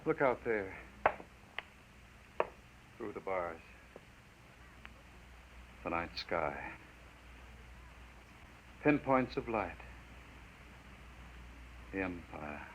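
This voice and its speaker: A man's voice: low voice, animated, very slow, pauses often, full character voice. An actor playing a weary, despairing man resigned to doom, brooding and solemn, in a 1950s radio drama. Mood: bleak, wistful. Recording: muffled, hissy 1950s radio recording.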